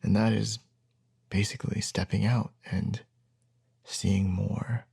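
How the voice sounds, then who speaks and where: A man playing a gentle, reassuring young boyfriend in an intimate ASMR roleplay, speaking softly and tenderly, often close to a whisper, with hesitant pauses. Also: fairly low pitch, little pitch movement, heavily acted. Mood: thoughtful, soothing. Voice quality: soft, breathy, husky, often near a whisper.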